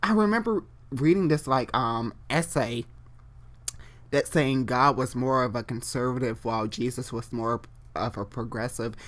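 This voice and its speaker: Informal storyteller, halting live read-aloud. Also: mid-range voice. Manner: halting, repeats and corrects phrases while reading; informal, chatty asides. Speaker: online storyteller. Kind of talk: dramatic reading of amateur fan fiction with off-script asides.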